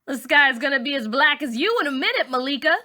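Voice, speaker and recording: A taunting schoolboy bully from a storybook, played by a woman narrator. The voice is pitched up, loud and bright, cocky and mocking in every line. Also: lively intonation, quick pace. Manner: sing-song mocking, loud. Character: taunting, cocky teenage boy bully and his crew.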